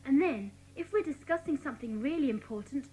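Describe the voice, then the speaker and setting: A woman playing an excitable, chatty young schoolgirl in a dramatised children's audiobook, exclaiming emphatically. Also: sits high, brisk, low-quality recording.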